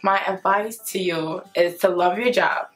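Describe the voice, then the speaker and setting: Young woman, from Brooklyn, lowish voice, earnest advice, faint guitar music. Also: unhurried, rough recording. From Brooklyn, New York. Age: young adult. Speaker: resident assistant, nursing student. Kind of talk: casual interview answers. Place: indoors before a plain grey backdrop.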